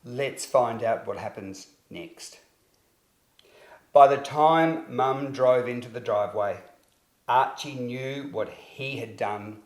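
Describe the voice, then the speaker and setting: A male storyteller narrates a children's tale in a mid-pitched voice. His phrasing is expressive and deliberate. The recording has a clearly roomy, echoing sound.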